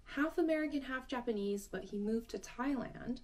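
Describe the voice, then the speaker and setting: A woman's voice: fairly high pitch, moderate pace. A young woman who speaks Finnish as her first language, hosting a language lesson and explaining points step by step in a friendly, encouraging way. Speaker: language teacher and channel host. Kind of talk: casual explanatory lesson to camera.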